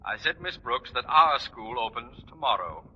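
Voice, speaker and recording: An actor playing a pompous, irascible middle-aged principal with clipped, flowery diction in a 1940s radio sitcom. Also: male voice, mid-range voice, expressive, moderate pace, sharp tone, husky, muffled recording, exaggerated voice. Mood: officious. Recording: noisy band-limited 1940s radio recording.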